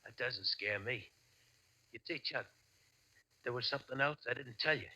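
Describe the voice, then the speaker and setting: A grim, vengeful ex-convict in a 1940s radio play. He has a very hard, bright voice of middling pitch and taunts with resigned menace. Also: normal speed, rough recording. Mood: grim resolve. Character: vengeful dying male ex-convict, grimly taunting. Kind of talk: 1940s radio drama acting.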